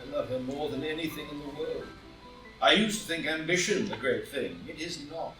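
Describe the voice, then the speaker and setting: A man's voice: a little high, moderate pace, pushed and bright. A man playing a proud, tormented statesman full of shame, melodramatic and declamatory in a staged play reading. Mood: anguished, despairing. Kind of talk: stage play read aloud as audio drama. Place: indoor room, live in-person reading. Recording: roomy live reading, distant mic, music.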